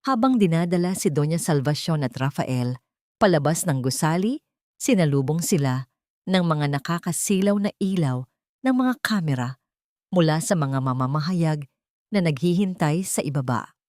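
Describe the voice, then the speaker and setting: A woman narrating a dramatic love story in a measured, theatrical reading style. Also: deep voice, lively intonation, a little mellow, no room sound, studio quality.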